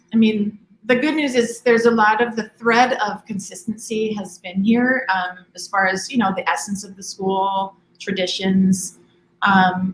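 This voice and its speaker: A woman in her forties from Hawaii, a school admissions director talking relaxed in an interview, with many ums and restarts. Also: mid-range voice, roomy sound, a little hiss or hum, low-quality recording. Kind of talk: conversational interview.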